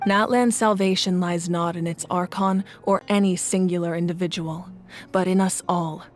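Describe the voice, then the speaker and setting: A woman playing a calm, wise leader of warriors in a video game, speaking in a measured, formal and reassuring way. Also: lowish voice, lightly acted. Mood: composed, serious. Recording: music behind the voice.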